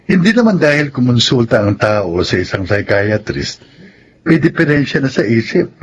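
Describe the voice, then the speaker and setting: A reasonable, reassuring psychiatrist in a Filipino radio drama. His mid-pitched voice moves in lively swings, in a dull recording. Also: normal speed, husky. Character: reassuring, reasonable male psychiatrist advising a couple. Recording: dull sound with faint noise.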